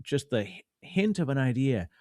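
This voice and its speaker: A man's voice: medium pitch, normal speed, no echo, studio quality. A man from Canada hosting a podcast, chatting casually and telling stories with animation and easy laughter. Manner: animated storyteller, laughs easily. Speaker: podcast host. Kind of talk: casual conversational podcast chat.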